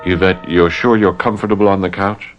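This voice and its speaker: A man's voice: low voice, steady tone, brisk, highs cut off, music in the background, close to an everyday voice. A man playing an earnest, agitated and protective fiancé in an old-time radio mystery. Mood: pleading, concerned. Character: anxious, protective young Frenchman, jealous fiancé.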